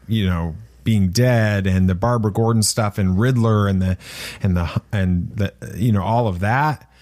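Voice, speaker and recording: A man's voice: fairly low pitch, darkish tone, dry recording. A man co-hosting a podcast in casual conversation. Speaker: podcast co-host. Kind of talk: casual chat.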